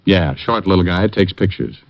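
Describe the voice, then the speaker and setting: An actor playing a wisecracking private eye who narrates and quips in a dry, sardonic way, in 1950s radio drama style. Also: male voice, low voice, normal speed. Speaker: narrator and lead. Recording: dull lo-fi old radio broadcast with faint music.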